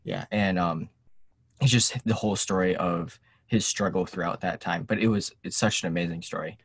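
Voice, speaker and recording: A young man chatting casually as an interview guest, hesitant and halting in his delivery. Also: low-pitched, slightly rough. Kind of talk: casual chat. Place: indoor room, seated on a couch on a video call.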